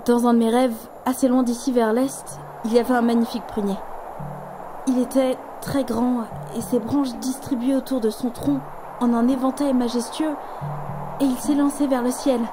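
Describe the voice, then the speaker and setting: An actress playing an earnest, dreamy young daughter in an audio drama. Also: female voice, fairly high pitch, level tone, normal speed, music in the background, natural voice, rough recording. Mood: wistful. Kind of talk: French audio drama acting.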